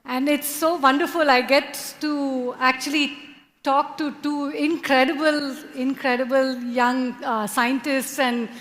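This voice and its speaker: A woman's voice: high-pitched, fairly even intonation, unhurried, a bit bright, big-room sound. A woman hosting a conference panel interview, hesitant with many fillers. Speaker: host. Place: conference hall.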